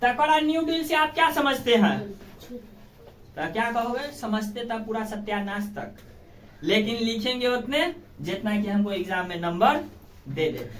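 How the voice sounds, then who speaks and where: A woman's voice: a little high, expressive, normal speed, slight background noise. A woman with a Bihari accent teaching an informal school lesson, colloquial and exhorting, often asking rhetorical questions and checking that pupils follow. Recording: echoey room, distant microphone.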